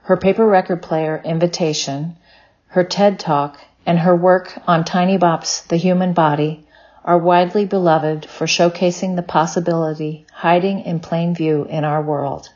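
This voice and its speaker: Low-voiced woman hosting a podcast. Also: steady tone, normal speed, darkish tone, dull audio. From Texas. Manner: reads intro evenly, then chatty and laughing. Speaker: podcast host. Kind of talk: interview podcast with scripted intro.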